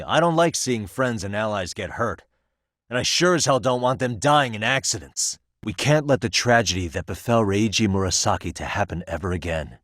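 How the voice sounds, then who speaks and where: A man's voice: medium pitch, no echo, studio quality, natural voice. A man playing a cool, principled, easygoing investigator in an English-language video game, speaking in a casual, self-assured and earnest way.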